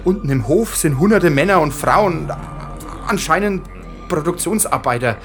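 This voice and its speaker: A man's voice: fairly high pitch, lively intonation, music in the background. A man acting a frightened, stammering police inspector in a radio drama. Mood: shaken. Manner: stammering, halting, increasingly panicked. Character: frightened male police inspector reporting from a massacre.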